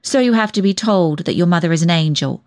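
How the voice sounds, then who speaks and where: Woman doing a severe old cousin in a storybook, blunt n scolding, indignant but soft underneath. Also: lowish voice, expressive, quick pace. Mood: solemn, indignant.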